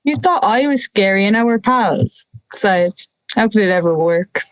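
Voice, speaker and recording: A woman's voice: medium pitch, lively intonation, normal speed, no room sound, muffled recording, natural voice. A young woman playing a friendly male wolf with a dry, sardonic manner in an audio drama. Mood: coaxing. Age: young adult. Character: friendly male big bad wolf, wry and gentle.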